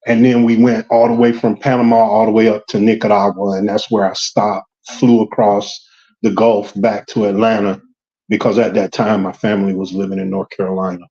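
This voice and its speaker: A man's voice: fairly low pitch, level tone, fairly quick, dark tone, highs cut off. Man with a light Southern accent. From Georgia, US South. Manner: rambling, hesitant, with many ums. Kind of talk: casual getting-to-know-you chat.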